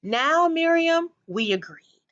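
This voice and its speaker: A woman's voice: extremely high pitch, animated, takes it slowly, fairly bright tone, muffled recording, pitched above the performer's own voice, exaggerated voice. A woman voicing a scolding elderly retired actress in a storybook, with an emphatic theatrical manner. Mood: indignant. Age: older.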